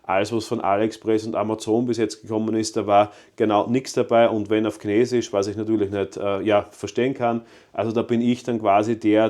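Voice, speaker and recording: Austrian man, motorcycle vlogger, casual rambling chat, keen, fairly even-toned. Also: fairly low pitch. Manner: enthusiastic, rambling with fillers. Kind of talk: casual vlog talk to viewers.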